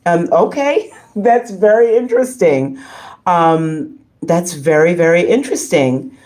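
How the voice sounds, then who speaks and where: A slightly hoarse woman hosting a casual livestream, reading and answering viewer comments in a halting, effusive way. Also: fairly low pitch, animated, mellow. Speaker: livestream host.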